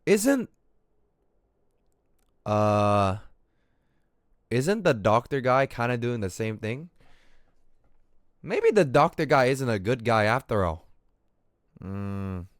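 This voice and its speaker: Lively-pitched man streaming a game. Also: slow, pauses often, dry recording, clean studio sound. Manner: casual, slangy, mild swearing. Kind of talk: game livestream commentary, reading in-game text aloud.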